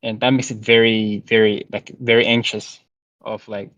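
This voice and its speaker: A man on a discussion panel, talking haltingly with many fillers and restarts. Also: lowish voice, flat delivery, a bit bright, no echo. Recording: dry, dull lo-fi sound.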